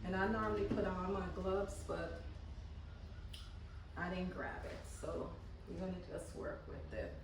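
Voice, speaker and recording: A woman's voice: fairly low pitch, fairly even intonation, a little slow, pauses often, over music. A woman from Guyana with a Guyanese accent, casually demonstrating candle making in her home garage and chatting as she works. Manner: chatty, loosely repeats herself while working. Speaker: candle maker. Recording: echoey garage, mic far off. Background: passing cars and lawn mowing.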